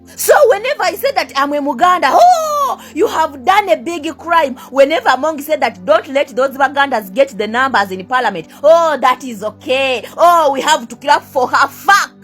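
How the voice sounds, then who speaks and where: A woman's voice: high voice, lively intonation, moderate pace, fairly bright tone, soft background music. A young Ugandan woman with a Ugandan accent in a heated rant to camera. She keeps firing the same rhetorical questions, swears at her critics and switches between two languages. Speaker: vlogger.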